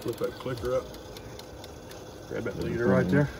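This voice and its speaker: A man working as a fishing guide, giving brisk, practical instructions outdoors on a boat. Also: medium pitch, fairly even intonation. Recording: loud engine and water noise.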